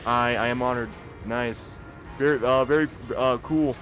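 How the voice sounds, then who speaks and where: A man's voice: mid-range voice, steady tone, measured pace, fairly bright tone, faint music behind. Rambling young man streaming a game. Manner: rambling, repeats words when starting sentences. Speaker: streamer playing a video game. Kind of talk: casual live-stream chat.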